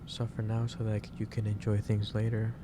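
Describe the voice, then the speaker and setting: Low, wide-ranging man in hesitant ASMR roleplay. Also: completely flat delivery. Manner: hesitant, halting, pausing mid-sentence, gently advising. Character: gentle, caring male office boss. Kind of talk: soft ASMR roleplay, improvised monologue to the listener. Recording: clearly noisy background ambience.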